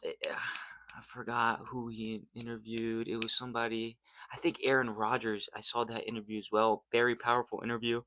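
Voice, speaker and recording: A man's voice: mid-range voice, flat delivery, a little fast, pushed and bright, dry recording. A young American man giving casual vlog commentary in an earnest, rambling, slangy way. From United States. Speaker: YouTube host.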